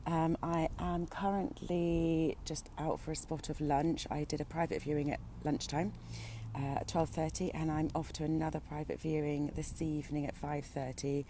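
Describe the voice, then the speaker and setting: A woman's voice: low-pitched, gravelly, slight background noise. A woman who is an equine photographer, giving a chatty, encouraging impromptu talk to her group, a little stuffy from a cold. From Britain. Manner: chatty, encouraging, relaxed with filler ums. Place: out at lunch.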